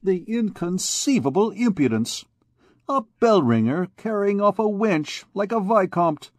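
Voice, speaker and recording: A man's voice: high-pitched, expressive, unhurried, darkish tone, no echo, clean studio sound, pitched above the performer's own voice. A man voicing a swaggering young army captain who talks in a boastful, blustering way.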